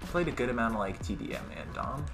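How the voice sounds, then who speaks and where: A young man with a raspy, hoarse voice from being sick, giving casual, slangy, excitable live commentary while he plays a game at home on a headset. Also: medium pitch, quick pace. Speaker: gaming YouTuber. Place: indoor room at home. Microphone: headset with webcam. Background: game gunfire and music.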